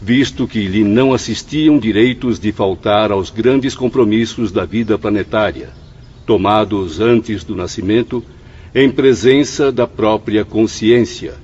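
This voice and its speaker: A grave professor in a radio drama. His voice is fairly low and husky, with formal, sententious delivery on a slightly dull recording. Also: darkish tone. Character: grave, courteous male professor in the spirit world. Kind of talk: solemn radio drama recitation.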